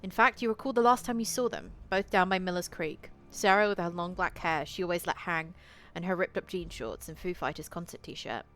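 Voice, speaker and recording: A woman's voice: on the low side, a little fast, hardly any pauses, fairly bright tone. A woman game streamer reading a visual novel aloud and chatting to viewers, with casual swearing and playful asides. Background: eerie game music.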